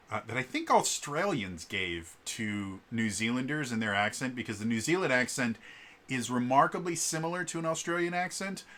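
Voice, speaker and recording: A man's voice: mid-range voice, sharp tone. A man talks casually as a vlogger and game master, explaining things in a digressive, storytelling way. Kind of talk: casual rambling storytelling vlog.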